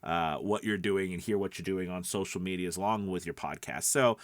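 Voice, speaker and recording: A man's voice: low voice, brisk, dry recording. A middle-aged man hosting a voice-over coaching video podcast, talking casually and instructionally, rambling and often rephrasing himself. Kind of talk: casual instructional vlog talk.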